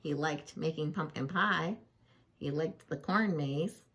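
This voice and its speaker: A woman reading a children's picture book aloud, playful and expressive, with chatty asides and questions to the listener. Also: low voice, slow, husky.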